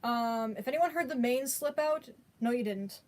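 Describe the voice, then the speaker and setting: A woman's voice: on the high side, moderate pace. A woman chatting casually to her viewers, rambling with many fillers and restarts. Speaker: vlogger.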